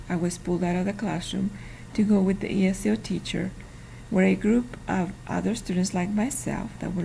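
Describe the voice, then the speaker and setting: A woman's voice: mid-range voice, gravelly, dark tone, slight background noise, low-quality recording. A woman, carefully reading a prepared class presentation. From Mexico. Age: 50s. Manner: careful, deliberate reading with some restarts. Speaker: student.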